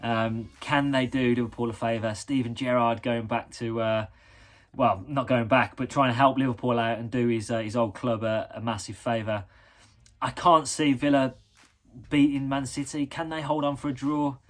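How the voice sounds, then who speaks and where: A man in his thirties from England, a guest giving football predictions in a measured, slightly hesitant way. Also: medium pitch, little pitch movement.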